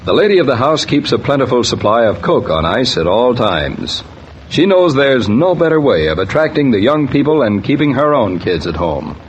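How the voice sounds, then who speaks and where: Genial old-time radio announcer guy, expressive folksy delivery, hissy recording. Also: mid-range voice, moderate pace. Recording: dull, noisy old radio transcription.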